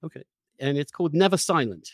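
A man's voice: fairly high pitch, expressive, fast, dry recording, clean studio sound. A man hosting a conversational interview podcast, feeling his way through questions and rephrasing as he goes. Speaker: podcast host.

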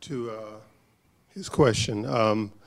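A man in a church group sharing a personal reflection, talking haltingly as he searches for words. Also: mid-range voice, vocal fry. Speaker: congregant.

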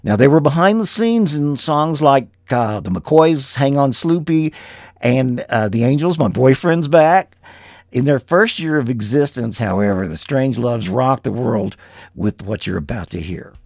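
A man's voice: medium pitch, animated, a little slow, vocal fry, a little mellow, no echo, studio quality. A man hosting a music show, narrating pop history between songs in a presenter's style. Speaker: radio music show host.

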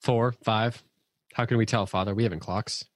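A man's voice: lowish voice, fairly even intonation, normal speed, lightly acted. A man voicing a weary prisoner who answers plainly. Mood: flat.

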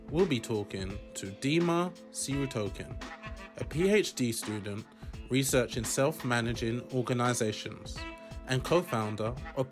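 A man hosting a podcast, reading a scripted intro and then asking interview questions in a measured, upbeat way. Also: mid-range voice. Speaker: podcast host.

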